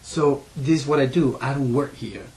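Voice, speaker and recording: A man in his forties from Ecuador with a heavy Spanish accent, telling his life story in an interview, earnest and halting with many fillers and restarts. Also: medium pitch, darkish tone, poor audio. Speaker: interviewee, school owner.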